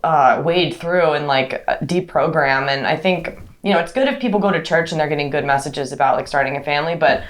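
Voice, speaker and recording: A woman's voice: deep voice, brisk. A woman in her late twenties co-hosting a casual conversation, hesitant, with many fillers and restarts. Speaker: co-host.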